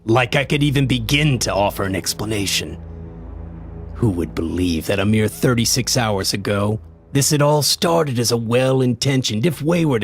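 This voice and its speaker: A man playing a shaken, grave witness who narrates a radio horror drama in a somber, dramatic way. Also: fairly low pitch. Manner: somber, dramatic first-person storytelling. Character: shaken, grave male friend narrating a horrible mystery.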